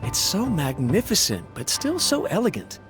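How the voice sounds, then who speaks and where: A chatty, polite young man who speaks cautiously, as if careful not to overstep. His mid-pitched voice moves in lively swoops. Game music plays behind him. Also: normal speed. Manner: cautious, conversational. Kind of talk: video game cutscene dialogue.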